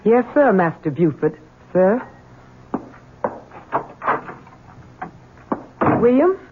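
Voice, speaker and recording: An actress playing a deferential, kindly elderly housekeeper in a 1970s radio drama, speaking hesitantly. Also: female voice, mid-range voice, sing-song, moderate pace, slight background noise, lightly acted. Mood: wry. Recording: narrow-band old radio broadcast.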